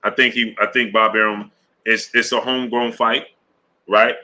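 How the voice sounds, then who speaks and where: A man's voice: on the low side, flat delivery, moderate pace, bright, forceful tone. A man hosting a live-stream boxing show, greeting viewers in the chat and talking through his views with many fillers and restarts, often checking in with "right?". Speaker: sports show host.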